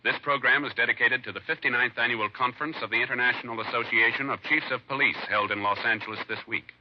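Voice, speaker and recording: A man with an American accent announcing in a formal, measured 1950s radio style. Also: mid-range voice, a little hiss or hum. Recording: dull vintage radio recording with music.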